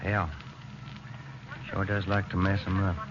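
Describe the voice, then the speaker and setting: An actor playing a plain-spoken, folksy American police detective, a loyal sidekick in 1940s radio style. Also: male voice, sits low, flat delivery, unhurried. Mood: concerned. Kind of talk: 1940s radio acting, crime drama. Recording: muffled old radio recording with music.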